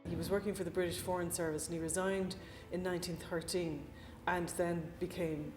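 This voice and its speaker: A woman explaining history in an interview as a museum curator, measured and pausing often. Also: fairly low pitch, little pitch movement, darkish tone. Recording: echoey room under music. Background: prominent background music.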